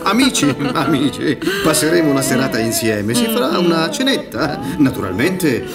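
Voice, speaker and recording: An actor playing a genial, slyly playful old gentleman host in a radio drama, polite and ceremonious. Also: fairly high pitch, very animated, moderate pace, over loud music. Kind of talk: Italian radio drama acting. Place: theatre stage, radio drama recording.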